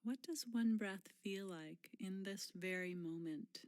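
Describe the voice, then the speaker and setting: Slow, gentle woman guiding meditation. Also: medium pitch, dry recording, clean studio sound. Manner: gentle, unhurried, with long pauses and soft breathy phrase endings. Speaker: meditation guide. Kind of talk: guided mindfulness meditation.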